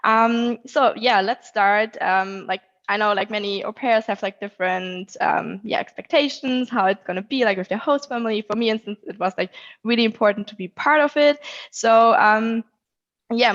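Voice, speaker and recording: A woman's voice: medium pitch, a bit bright, lo-fi sound. A woman moderating an online panel, hesitant, with many filler words. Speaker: moderator, former au pair. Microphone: video call.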